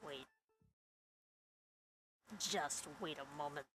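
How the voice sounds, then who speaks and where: A woman's voice: low-pitched, steady tone, very slow, a bit bright. A woman gaming host reading visual novel narration aloud with dramatic first-person storytelling over game music.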